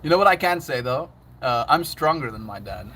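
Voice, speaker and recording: A man's voice: mid-range voice, lively intonation, a little fast, pushed and bright, a little hiss or hum. A man chatting casually on his livestream, laughing often and reading out chat messages.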